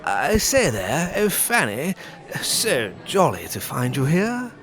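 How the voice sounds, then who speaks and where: A man playing a frail, fussy, self-pitying hypochondriac gentleman suitor in a farcical audio drama. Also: a little high, huge pitch swings, slow, faint music behind, heavily acted. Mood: doddering eagerness. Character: frail, fussy, stooped thin hypochondriac suitor, Sir Charles.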